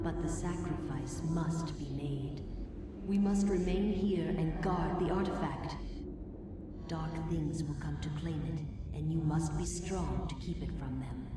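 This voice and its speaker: A woman voicing a dead, cursed woman in a video game cutscene, speaking slowly and gravely in hushed tones. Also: low voice, noisy background. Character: dead cursed woman, mutilated lover, solemn. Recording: echoey, distant, game music behind.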